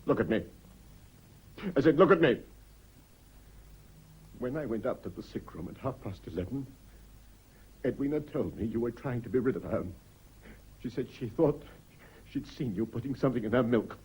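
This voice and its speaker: A weak, guilt-ridden British schoolmaster in a radio melodrama. His voice is very hoarse-edged and husky, and it swings widely in pitch as he grows defensive and accusing. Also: animated, moderate pace, long pauses, a little mellow, poor audio. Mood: grim, insistent. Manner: agitated, wounded, stammering under pressure. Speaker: radio drama actor. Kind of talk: melodramatic radio play acting.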